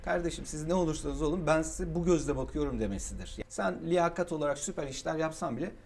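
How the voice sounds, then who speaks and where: A man's voice: on the high side, quick pace, gravelly, a little mellow. A man hosting an interview, a native Turkish speaker who halts and searches for words in a foreign language. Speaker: host, interviewer. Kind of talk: interview questions.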